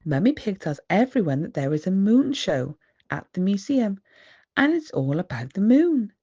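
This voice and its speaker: A British woman, a teacher, reading a children's bedtime story aloud in an expressive, exaggerated storyteller manner. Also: mid-range voice, normal speed, highs cut off. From United Kingdom. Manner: animated, exaggerated storyteller delivery with gasps.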